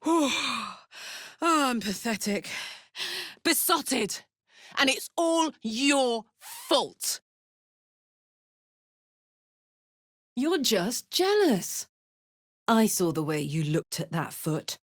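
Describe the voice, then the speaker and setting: A woman playing a big, horned hellspawn warrior in a video game, brash and casual, warm-hearted, playful and hot-tempered. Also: on the high side, sing-song, extremely slow, no echo, clean studio sound, full character voice. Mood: flirty, playful. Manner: brash, casual, emotionally open. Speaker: video game character voice actor. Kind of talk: expressive video game voice acting.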